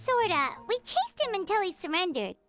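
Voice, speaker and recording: A woman's voice: extremely high pitch, sing-song, moderate pace, a bit bright, full character voice. A woman playing a tiny, cute, childlike companion creature in a game. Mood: chirpy. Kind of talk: scripted game voice acting. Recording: music under the voice.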